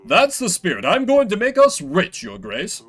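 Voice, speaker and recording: A man voicing a scheming noble lord in a video game, with a smooth-talking, persuasive sales manner. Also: sits high, wildly swooping pitch, normal speed, a bit bright, full character voice. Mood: eager, boastful. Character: scheming noble lord pitching a shady drug trade.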